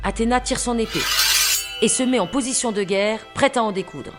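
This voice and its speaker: A woman's voice: a little high, normal speed, faint music behind, close to an everyday voice. A woman narrating a comic audio drama with gushing, over-the-top enthusiasm. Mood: dramatic.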